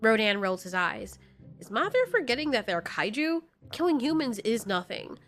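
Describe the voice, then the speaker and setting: A woman's voice: fairly high pitch, sing-song, normal speed, fairly bright tone, soft background music. A woman storyteller giving dramatic scripted narration in an informal, candid way.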